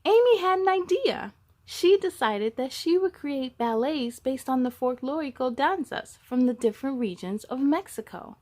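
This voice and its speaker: A woman hosting a storytime, reading a picture book aloud to children in an animated way and speaking directly to young listeners. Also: sits high, moderate pace. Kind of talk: children's read-aloud with asides.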